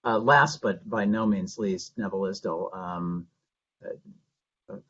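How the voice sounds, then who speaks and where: Male panel moderator, somewhat low and husky, halting delivery. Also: a bit bright. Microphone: video call. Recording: dull lo-fi webinar audio.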